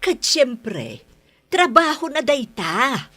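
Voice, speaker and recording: A woman's voice: high-pitched, sing-song, slow pace, a bit bright, exaggerated voice. An actress playing a patient, middle-aged Ilocano mother in a radio drama, admonishing her grown daughter in a motherly way. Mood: concerned, admonishing. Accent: Ilocano, northern Philippines.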